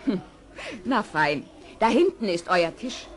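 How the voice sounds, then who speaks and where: A woman's voice: medium pitch, expressive, slow. An actress playing a friendly, chatty hotel landlady in an audio drama. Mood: cheerful. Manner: chatty, obliging. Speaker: voice actor. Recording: faint background noise, old audio drama.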